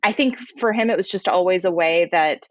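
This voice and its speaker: A woman's voice: on the low side, brisk, dry recording, muffled recording. A young woman, a playwright, chatting casually in an interview.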